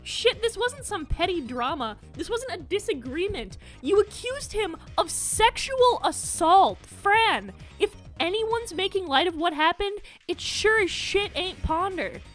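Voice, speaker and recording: A woman's voice: extremely high pitch, sing-song, unhurried, few pauses, a bit bright. A young woman delivering scripted commentary as an emphatic, accusatory rebuke peppered with swearing. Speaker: video commentator. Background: background music.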